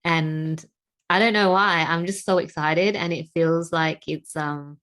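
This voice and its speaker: Soft-spoken, low-voiced woman host. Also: steady tone, unhurried. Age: 30s. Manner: soft-spoken, affirming, hesitant fillers. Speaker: podcast host. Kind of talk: casual interview chat.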